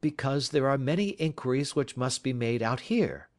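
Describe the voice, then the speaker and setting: A male audiobook reader voicing a calm, reserved gentleman detective who speaks in a measured, precise way. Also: mid-range voice, voice pushed up, lightly acted.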